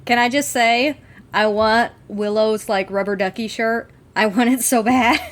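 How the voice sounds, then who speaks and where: A woman's voice: fairly high pitch, steady tone, measured pace. A woman co-hosting a podcast, talking casually and emphatically as an enthusiastic fan. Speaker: podcast co-host.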